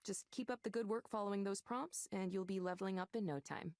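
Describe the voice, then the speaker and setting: A woman's voice: medium pitch, brisk, a little mellow, no room sound, natural voice. An actress playing a matter-of-fact, easygoing woman who supervises counselors in a visual novel game. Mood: encouraging, casual.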